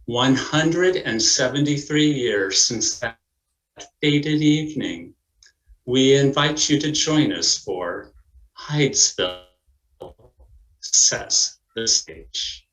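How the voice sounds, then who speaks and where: A man giving scripted dramatic narration in a deliberate, theatrical manner. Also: mid-range voice, animated, a bit bright. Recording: echoey room, faint noise.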